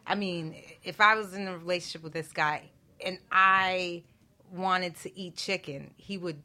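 A woman's voice: fairly low pitch, fairly even intonation, a little slow, bright, forceful tone. A woman chatting casually about relationships in a panel talk, often repeating and restarting her phrases.

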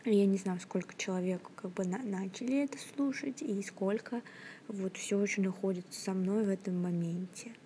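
A woman's voice: medium pitch, moderate pace, warm tone, a little hiss or hum. Hesitant young woman half-whispering into phone. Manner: hesitant, many fillers and restarts, often half-whispering. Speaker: podcast host. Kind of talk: casual rambling monologue. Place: at her workplace, indoors.

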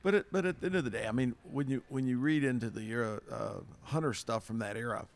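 A man from Kentucky co-hosting a casual chat, telling an anecdote with quick animated asides. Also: medium pitch, vocal fry.

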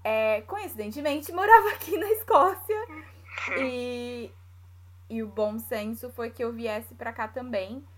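A woman's voice: on the high side, a little slow, a little hiss or hum. A woman from São Paulo, speaking Portuguese with a paulista accent, casually telling her own story in conversation. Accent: São Paulo Brazilian Portuguese. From São Paulo, Brazil.

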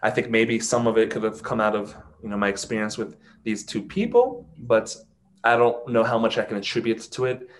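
A man's voice: on the low side, animated, fairly quick. Chatty man full of "you know". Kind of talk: casual chat.

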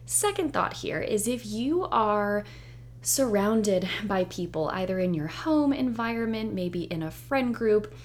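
Woman, young adult, solo podcast host; voice a bit hoarse, upbeat and encouraging delivery. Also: medium pitch, expressive, unhurried. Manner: chatty, upbeat and reassuring. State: hoarse, nearly losing her voice. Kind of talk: solo podcast intro monologue.

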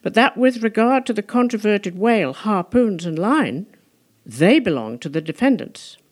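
A woman's voice: medium pitch, expressive, moderate pace. Woman reading a classic novel aloud. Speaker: audiobook reader. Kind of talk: scripted literary narration, audiobook reading.

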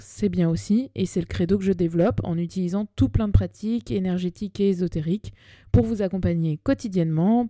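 A woman's voice: fairly low pitch, mellow, no echo. A woman of about thirty with a Parisian French accent, hosting a personal podcast in a chatty, self-deprecating, colloquial way, close on the microphone. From Paris, France. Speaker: podcast host. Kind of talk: personal monologue podcast, semi-scripted narration.

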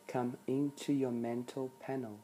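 A meditation guide speaking soothingly and softly in short phrases with long pauses between them. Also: mid-range voice, flat delivery, a little hiss or hum. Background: background music.

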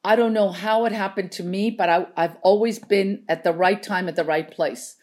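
A woman's voice: mid-range voice, fairly even intonation, normal speed, a little mellow. An older woman from Chile, a native Spanish speaker, telling anecdotes casually as an interview guest. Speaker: interview guest, veteran script supervisor.

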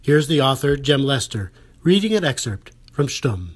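A man's voice: medium pitch, big pitch swings, normal speed, husky. A man reading a scripted introduction as a podcast host.

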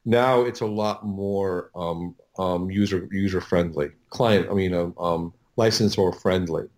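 A middle-aged man with a fairly low voice talks casually as an interview guest. He is a producer recounting his work in a halting, rambling way. He often repeats words and restarts his sentences. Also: darkish tone.

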